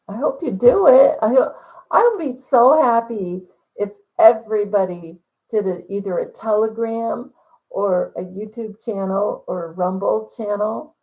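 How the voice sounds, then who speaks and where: A woman hosting a casual livestream, answering viewer questions in a quiet voice, rambling with many fillers and restarts. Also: mid-range voice, vocal fry, poor audio. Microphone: phone.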